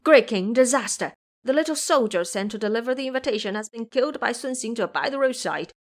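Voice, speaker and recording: A woman voicing a male imp soldier on patrol who bursts in to report disaster, speaking loudly and urgently, in a storybook reading. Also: on the high side, expressive, fairly quick. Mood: alarmed.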